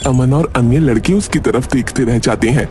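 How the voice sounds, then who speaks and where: A man's voice: medium pitch, normal speed, darkish tone, a little hiss or hum. A man narrating a cartoon story from a script.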